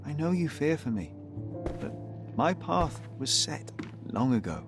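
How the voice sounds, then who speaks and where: A young, principled detective in a video game, earnest and stubborn. He speaks in a measured, formal way with lively intonation over soft music. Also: fairly high pitch. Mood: resolute. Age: young adult.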